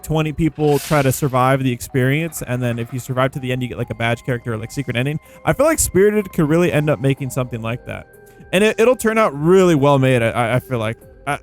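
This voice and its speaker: A man hosting a gaming video with casual, rambling commentary, playful and full of informal slang. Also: fairly high pitch. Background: background music.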